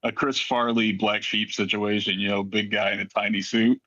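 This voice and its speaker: A man who works as a voice actor, speaking off the cuff in a thoughtful, halting way as he reflects during a cast discussion. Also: on the low side, little pitch movement, sharp tone, breathy edge, dry recording, muffled recording. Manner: thoughtful, halting, searching for words. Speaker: voice actor in a fiction podcast.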